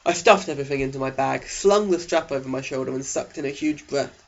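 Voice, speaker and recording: A man's voice: medium pitch, brisk, creaky, lo-fi sound. A man narrating a mock audiobook with a sarcastic, exaggerated delivery.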